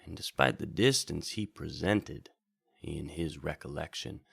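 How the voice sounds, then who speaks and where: Very deep, gravelly male storyteller. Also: no room sound. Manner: measured, reflective, deliberate phrasing. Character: well-travelled wandering bard recalling the road. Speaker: host of a radio hour, speaking in character as a wandering bard. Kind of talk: slow scripted storytelling narration, radio drama.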